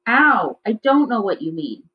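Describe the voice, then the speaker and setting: A woman's voice: mid-range voice, very animated, a little slow, a little hiss or hum. A woman voicing an earnest, questioning young schoolgirl in a storybook. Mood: wary. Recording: slightly dull, lo-fi recording.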